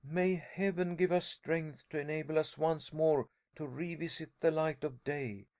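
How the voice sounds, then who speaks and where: A man voicing a desperate young explorer who begs his uncle to turn back, crying out in excitement. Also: fairly high pitch, unhurried, pitched above the performer's own voice. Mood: pleading. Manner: excited, pleading cries. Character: young male nephew explorer, desperate and pleading.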